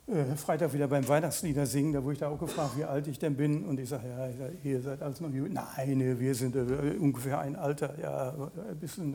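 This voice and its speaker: A man in his late fifties preaching a conversational sermon, pausing mid-sentence and putting questions directly to his listeners. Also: medium pitch, mellow.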